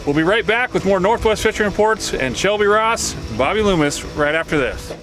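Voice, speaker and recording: Easygoing high-voiced fishing show host. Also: male voice, brisk. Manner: easygoing, asks curious questions. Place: small open boat on a lake, outdoors. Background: background music.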